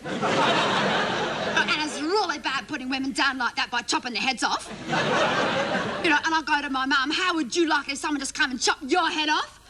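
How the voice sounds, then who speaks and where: A woman's voice: sits high, normal speed, long pauses, over a noisy crowd, heavy background noise, close to an everyday voice. A woman playing a naive, chatty, indignant schoolgirl in a comedy monologue, running on breathlessly and mimicking her family's words. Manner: breathless run-on gossip with mimicked family quotes.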